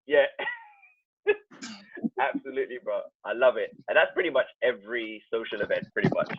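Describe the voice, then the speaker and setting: A man's voice: mid-range voice, very animated, brisk, breathy edge. A man hosting his own birthday video call, upbeat and hyping up each guest as he brings them in. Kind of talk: casual chat. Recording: tinny phone-like video call audio.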